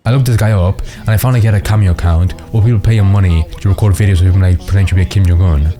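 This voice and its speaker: A man's voice: low-pitched, steady tone, rapid-fire. A man narrating casual commentary, peppering his talk with "like". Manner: casual, filler "like" throughout. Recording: voice-over with music behind.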